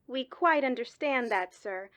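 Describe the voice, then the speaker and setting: A woman's voice: a little high, lively intonation, measured pace, a bit bright. A woman playing a courteous, unruffled 1940s hospital switchboard woman in a radio drama, speaking formally and measuredly. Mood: patient, formal.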